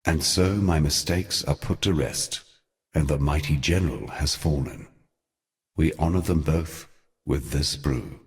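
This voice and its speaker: An ancient fallen deity with an extremely low voice. He speaks like a weary, eloquent schemer from a fantasy game, every phrase grave, archaic and measured. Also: a little mellow. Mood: dry satisfaction. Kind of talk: theatrical scripted fantasy game dialogue.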